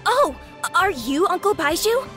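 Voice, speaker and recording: Casting: a polite, earnest little boy, very high voice, light music behind. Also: very animated, unhurried, pushed and bright, exaggerated voice. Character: worried young boy searching for his father. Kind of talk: video game voice acting.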